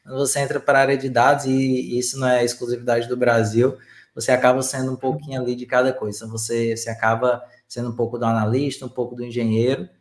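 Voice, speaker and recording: A man's voice: medium pitch, flat delivery, quick pace, roomy sound. A man from Fortaleza, working as a data engineer, introducing himself with hesitant restarts. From Fortaleza, Brazil. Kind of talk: online class introduction.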